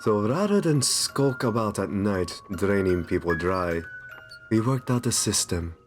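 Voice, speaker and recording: Guy doing a courtly vampire gentleman for asmr, soft n soothing, real low voice, takes his time, music behind. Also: animated. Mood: calm explaining. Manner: soft, soothing, genteel, unhurried. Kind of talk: ASMR roleplay acting.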